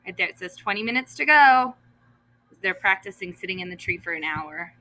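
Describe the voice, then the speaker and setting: Very bright-voiced woman reading to children. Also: mid-range voice, low-quality recording. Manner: expressive, deliberate, stresses repeated words. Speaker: first grade teacher. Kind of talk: children's story read-aloud with asides to pupils.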